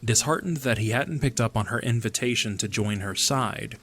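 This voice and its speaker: A man's voice: mid-range voice, normal speed, fairly bright tone. A man reading scripted third-person narration for a fantasy audio drama.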